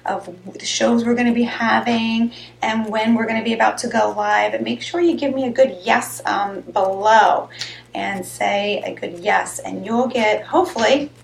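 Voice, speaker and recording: Chatty raspy woman hosting a live stream. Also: on the high side, fairly even intonation, unhurried, a little hiss or hum. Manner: chatty, rambling, greets viewers by name. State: slightly hoarse voice. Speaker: host of a live health show. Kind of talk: casual live-stream chat. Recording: echoey room.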